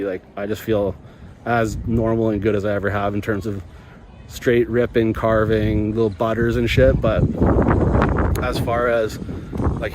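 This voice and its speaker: A young man chatting casually and slangily outdoors, rambling and musing in a laid-back way. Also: medium pitch. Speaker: vlogger snowboarding at a ski hill. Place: outdoors on a ski slope and chairlift. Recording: clear outdoor ambient noise on ski hill.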